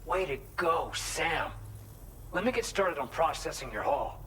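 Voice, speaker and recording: A man's voice: fairly high pitch, lively intonation, a little slow, pushed and bright, a little hiss or hum. An actor playing a conscientious, upbeat man who briefs a courier in a video game, talking in a chatty, earnest, explanatory way. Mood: impressed, excited. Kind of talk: video game cutscene dialogue.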